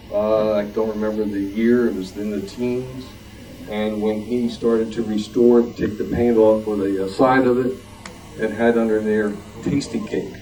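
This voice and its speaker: A man giving a casual, halting show-and-tell talk to a group, telling anecdotes as he goes. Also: medium pitch, warm tone. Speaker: club member at show and tell. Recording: distant room microphone.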